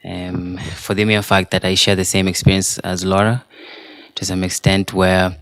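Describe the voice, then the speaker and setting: A man's voice: low voice, monotone, moderate pace, a bit bright, smooth, no echo. A man from Africa speaking earnestly and candidly as he shares personal reflections with a group. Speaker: sound designer and artist.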